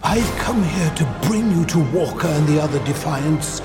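Theatrical ancient warlock played by a man, sweeping pitch. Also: animated, loud music behind the voice, natural voice. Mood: urgent, hopeful. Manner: theatrical, portentous, deliberate. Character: ancient male warlock, grave mentor and storyteller. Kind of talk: dramatic audio drama storytelling.

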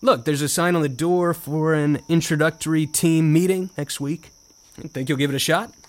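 A man's voice: fairly high pitch, fairly even intonation, moderate pace, no echo, natural voice. An actor playing a privileged, cocky American college freshman in an audio drama, speaking and narrating in a casual, dry, self-assured way. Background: music bed.

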